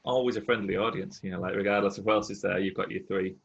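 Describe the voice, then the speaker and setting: A man hosting a podcast, chatting casually and relaxed, chiming in with short remarks. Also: deep voice, fast talker, creaky, highs cut off, a crowd in the background. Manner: relaxed, laughs along, brief interjections. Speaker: podcast host. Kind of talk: casual chat.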